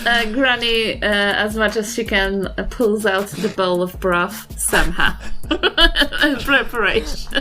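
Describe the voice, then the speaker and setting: A woman with a New Zealand accent speaks slowly in a bright, slightly high voice. She chats casually as a tabletop game player, with light music behind her. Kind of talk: casual game chat, describing her character's actions.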